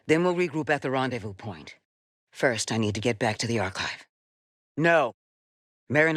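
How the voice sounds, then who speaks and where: Wise, resolute female Jedi mentor for a sci-fi game: low, measured, recorded very dry. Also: no room sound. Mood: urgent, commanding. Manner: measured, deliberate, earnest delivery. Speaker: video game voice actress. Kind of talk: cinematic game dialogue acting.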